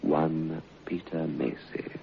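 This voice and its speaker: An actor playing a jealous, sardonic plantation husband in a radio play, theatrical and halting, with a mock-tender, insinuating manner. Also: male voice, very deep voice, flat delivery, gravelly, exaggerated voice. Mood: icy sarcasm. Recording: dull, hissy old radio recording.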